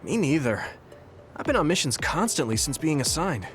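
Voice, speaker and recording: A man's voice: a little high, very animated, normal speed, fairly bright tone, faint music behind. An actor voicing an earnest, kind young male soldier in an anime game, sincere and a little hesitant. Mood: friendly, sincere. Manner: sincere, hesitant, easily flustered.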